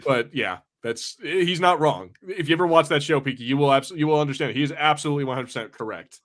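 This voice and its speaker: A man's voice: a little high, expressive, fast, a bit bright. A man hosting a film podcast in casual banter, joking and swearing freely in an informal way. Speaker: podcast host.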